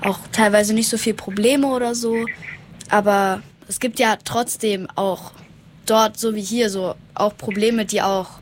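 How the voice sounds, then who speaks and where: Female interviewer, medium pitch, informal and slightly hesitant in phrasing, light room noise behind. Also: steady tone, a little slow. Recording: faint background room noise. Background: faint ambient noise.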